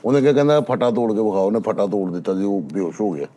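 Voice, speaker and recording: A man's voice: on the low side, racing, background noise. A man with a Pakistani Punjabi accent telling tales casually as a panellist, insistent and boastful. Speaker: talk show panellist. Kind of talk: casual storytelling.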